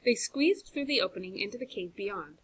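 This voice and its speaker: Teacher lady reading a chapter book out loud, bright voice, expressive. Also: medium pitch, brisk, breathy edge. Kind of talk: storybook read-aloud for a class.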